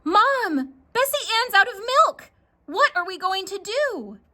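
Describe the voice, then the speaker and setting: Woman as a high-voiced, plaintive farm girl. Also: very animated, unhurried, bright, forceful tone, full character voice. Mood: alarmed. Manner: plaintive, hesitant. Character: young farm girl, earnest, anxious and doubtful.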